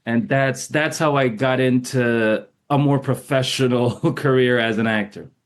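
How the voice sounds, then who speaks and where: A man's voice: medium pitch, a little slow. A man from Jamaica, an actor guest, telling stories in a casual interview with lots of laughter, acting out his own past lines. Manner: animated, laughs often, acts out his own past remarks.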